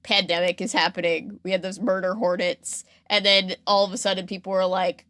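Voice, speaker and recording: Woman, podcast host, mid-pitched, casual, animated storyteller, close dry sound. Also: a little fast, dry recording. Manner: animated retelling, acting out imagined reactions in quotes.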